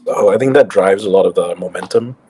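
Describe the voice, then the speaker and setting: A Singaporean man in his late thirties, with a slightly English-flavoured accent. His voice sits on the low side, and he talks in a relaxed, easygoing way with plenty of fillers and restarts, like a guest on a video-call interview. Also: fairly quick, a little hiss or hum. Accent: slightly English-tinged Singaporean. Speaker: guest, crypto company co-founder. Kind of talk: casual interview chat.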